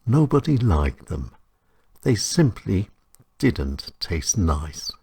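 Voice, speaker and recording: A man with a husky voice reading short stories in a measured, dramatic way, with short phrases and pauses. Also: deep voice, sing-song, mellow, slight background noise. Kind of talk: scripted short story narration.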